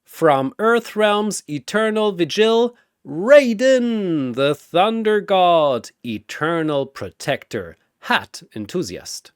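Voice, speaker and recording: A man's voice: high voice, very animated, takes it slowly, hardly any pauses, clear, resonant tone, dry recording, studio quality, voice pushed up, full character voice. A man voicing a flamboyant four-armed godlike arena announcer, a sardonic showman with a grandiose, theatrical delivery. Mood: booming, hyped. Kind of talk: ring-announcer fighter introductions.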